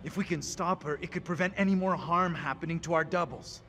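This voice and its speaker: A man's voice: fairly high pitch, a little fast, a bit bright, natural voice. Urgent, commanding professor played by a man. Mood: grave, resolute. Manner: urgent, clipped, authoritative. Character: grim, commanding middle-aged professor under threat. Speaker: actor in a horror video game. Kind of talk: dramatic game cutscene acting. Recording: dramatic music behind.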